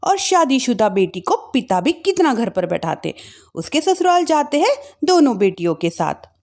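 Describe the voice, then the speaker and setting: A woman's voice: sits high, very animated, normal speed, a little mellow. A woman narrating a scripted family bedtime story in a storytelling style.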